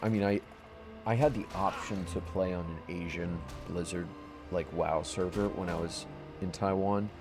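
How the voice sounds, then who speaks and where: A man's voice: sits low, fairly even intonation, moderate pace. A man streaming a game, chatting casually with his chat in a rambling way, full of restarts and fillers. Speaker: livestreamer playing a game. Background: background music.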